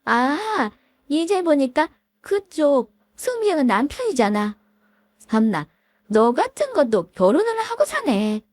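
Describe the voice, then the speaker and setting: A woman's voice: sits high, sing-song, slow, a little mellow, dry recording, voice pushed up, full character voice. A woman voicing an arrogant former school bully, a spiteful woman who taunts and scorns her old classmate. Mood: mocking.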